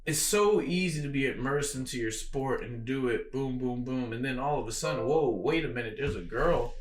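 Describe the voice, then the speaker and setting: Male American podcast host, mid-range voice, halting casual conversation, noticeable room echo. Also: medium pitch. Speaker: podcast host, boxing coach. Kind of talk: casual interview chat. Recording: echoey room.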